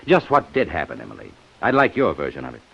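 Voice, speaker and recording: A man's voice: very deep voice, huge pitch swings, fast talker, vocal fry, slightly rough, heavily acted. A man playing a shrewd, sardonic police inspector in a 1950s radio detective drama, with a dry, probing manner. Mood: sardonic, accusing. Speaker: radio actor. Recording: muffled old-time radio broadcast recording.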